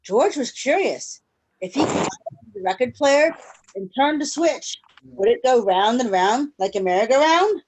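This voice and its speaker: A woman reading a picture book aloud to children in an expressive storytelling way over a video call. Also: a little high, moderate pace. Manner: expressive storytelling with questions to listeners. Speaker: children's story-time reader. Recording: noisy video call recording.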